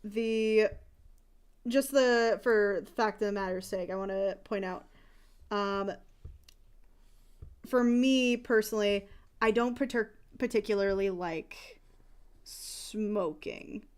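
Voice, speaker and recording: A woman's voice: mid-range voice, slow, pauses often, clean tone. A young adult woman streamer chatting casually, rambling and candid with frequent restarts. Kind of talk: casual chat.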